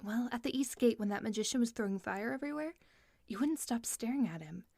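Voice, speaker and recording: Curious observant young guard girl woman acting, higher voice, fantasy audio drama, dry recording. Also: expressive, brisk, gravelly, a little mellow, no room sound.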